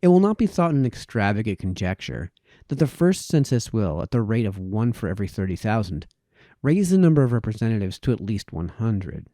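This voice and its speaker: A man reading formal historical prose aloud in a measured way, letting his phrases fall away at the ends of sentences. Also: on the low side, big pitch swings, mellow, dry recording, clean studio sound. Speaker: reader of historical political essays.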